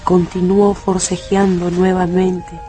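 A male narrator telling a dramatised story for radio in a measured, expressive way. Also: sits high, soft background music.

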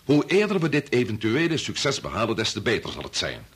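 Deep, grave old doctor. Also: male voice, huge pitch swings, breathy edge, heavily acted. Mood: grave, understanding. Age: older. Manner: measured, formal, authoritative. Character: grave, resolute senior doctor and father leading an experiment. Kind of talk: Dutch radio play acting.

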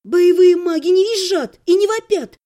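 A woman's voice: very high-pitched, sing-song, takes it slowly, darkish tone, pitched above the performer's own voice, exaggerated voice. A woman voicing a feisty, outspoken young wife in an audiobook, indignant and exclamatory. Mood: snappy. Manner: squealing, exclamatory delivery. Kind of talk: audiobook character acting.

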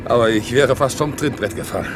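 A man's voice: medium pitch, a little fast, close to an everyday voice. A man playing an indignant war veteran on crutches in a 1960s German radio play, protesting in formal, agitated speech. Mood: pleading, polite. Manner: polite address turning to agitated protest. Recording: noisy vintage radio play, vehicle noise.

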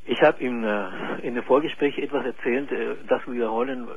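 Halting gravelly Chilean man on a call-in line. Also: medium pitch, phone-quality sound. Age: 40s. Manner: hesitant, halting with many fillers. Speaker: caller. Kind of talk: call-in radio conversation.

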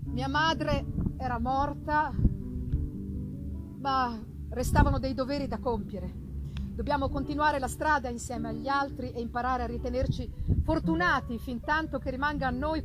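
A woman reads a gothic novel as dramatic literary narration, in measured, solemn, theatrical delivery, with live musical accompaniment. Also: high-pitched, rough recording. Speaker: reader performing a literary audiobook.

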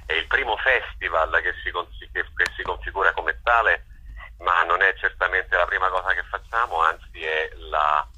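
A man being interviewed as an event organizer, speaking unscripted and hesitantly, often pausing to search for words. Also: deep voice, bright, forceful tone, slightly rough, low-quality recording.

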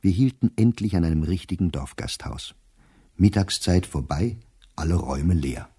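A middle-aged male diarist with a very low, dark voice. He reads his entries for a radio play in measured, clipped phrases, precise and dryly wry, with a husky edge. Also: lively intonation, no echo, studio quality, full character voice. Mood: matter-of-fact. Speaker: actor reading a diary. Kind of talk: literary diary narration in a radio play.

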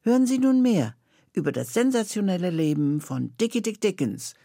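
An older woman presenting a scripted introduction with a personal anecdote, husky and creaky in voice. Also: mid-range voice, very animated, moderate pace, mellow, dry recording, studio quality.

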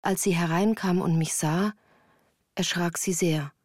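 A woman's voice: fairly low pitch, fairly even intonation, unhurried, a little mellow, dry recording, clean studio sound. An actress as a woman recounting her childhood in composed, literary first-person narration for a radio drama. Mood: grave, reflective. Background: music.

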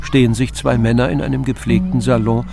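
A man narrating a radio play in a measured, wry storytelling manner. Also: medium pitch, little pitch movement, gravelly, close to an everyday voice. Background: music.

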